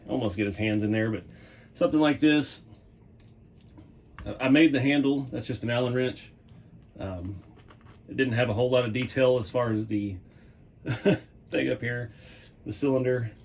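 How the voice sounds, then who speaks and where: Hoarse man rambling in his workshop. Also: mid-range voice. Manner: relaxed, rambling, chuckles often. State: losing his voice. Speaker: hobby channel host. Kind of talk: casual workshop vlog. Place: home workshop.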